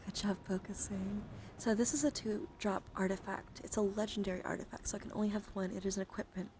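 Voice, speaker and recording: Female ASMR stream host, mid-pitched, soft casual commentary slipping into whispery murmurs, close mic over audible background noise. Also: fairly even intonation, quick pace. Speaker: livestream host. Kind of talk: soft-spoken ASMR card show-and-tell.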